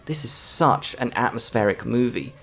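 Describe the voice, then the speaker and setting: A man's voice: mid-range voice, normal speed, a bit bright. A man giving scripted video essay narration as a film reviewer, with an enthusiastic, emphatic delivery. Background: background music.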